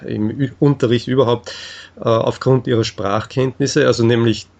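A man answering in an interview, explaining things in a halting, measured way with frequent hesitations. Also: mid-range voice, steady tone, vocal fry, darkish tone.

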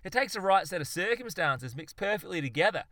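A man's voice: fairly high pitch, expressive, fairly quick, sharp tone, no echo. A man voicing a fervent, exasperated Australian zoologist in a comic story, ranting emphatically and swearing. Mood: gleeful. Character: exasperated, blustering Aussie bloke zoologist, manically fervent.

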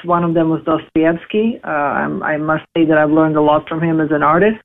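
A woman in her forties from Serbia, speaking English, telling her life story in an interview with halting, filler-heavy speech. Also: low voice, steady tone. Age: 40s. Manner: halting, many fillers and restarts. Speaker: interview guest. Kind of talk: casual interview, personal story. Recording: tinny phone line.

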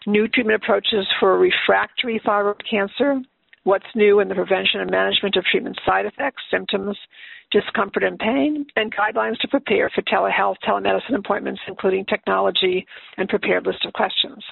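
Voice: mid-range voice, steady tone, brisk, creaky, slightly rough, no room sound. A webinar moderator thanks the last speaker and formally reads out the next speaker's titles. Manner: polite, formal. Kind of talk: reading speaker introduction. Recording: thin phone-like webinar audio.

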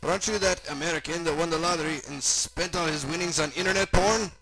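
A man's voice: on the high side, quick pace, heavy background noise, pitched above the performer's own voice, natural voice. Man doing a theatrical mad German scientist, raised voice. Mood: gleeful, excited. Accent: mock German. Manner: theatrical, over-enunciated, mixing in German words. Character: eccentric sinister German male mad scientist, gleeful, volatile.

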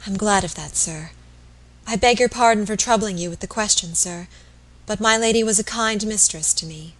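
An anxious young former lady's maid, voiced by a woman reader, speaks with eager deference. She sounds earnest and submissive, a storybook wife confiding carefully in a gentleman. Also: mid-range voice, moderate pace, lightly acted. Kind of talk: audiobook character voice.

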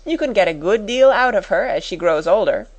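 A woman's voice: mid-range voice, expressive, a little fast, natural voice. A woman voicing a shrewd, unruffled, faintly sinister businessman in a storybook, dry, clipped and coolly polite. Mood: slyly polite.

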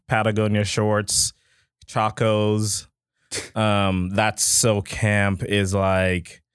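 Upbeat guy co-hosting, lowish voice, dry mic, hypes everything up. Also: clean tone, no room sound. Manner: upbeat, enthusiastic. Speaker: podcast co-host. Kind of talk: casual podcast chat.

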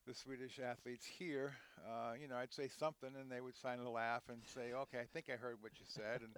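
A man's voice: medium pitch, level tone, a little fast, clean tone, dry recording. A man in his sixties, a guest on an interview podcast, telling long rambling stories full of restarts and fillers. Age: 60s. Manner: rambling, warm storytelling with many restarts. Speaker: guest, head equipment manager. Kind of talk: conversational interview.

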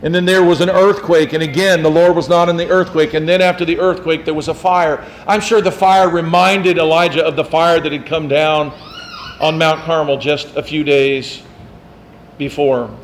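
Conversational pastor preaching, fairly high voice. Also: male voice, steady tone, normal speed, a little hiss or hum. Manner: conversational preaching, asks the congregation questions, teases listeners. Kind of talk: sermon.

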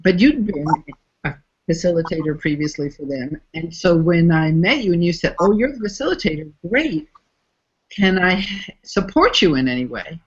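A woman's voice: on the low side, big pitch swings, normal speed, slightly rough, highs cut off. A middle-aged woman from the USA, a facilitator, talking through her work in a conversational interview with frequent restarts.

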